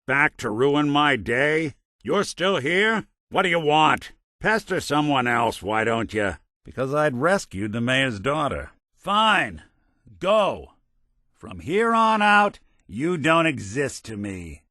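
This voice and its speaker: A man's voice: a little high, very animated, slow, sharp tone, dry recording, clean studio sound, full character voice. A man voicing a weary, wry android private detective in a video game, world-weary and sardonic in an old-fashioned noir way. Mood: irritated, cold.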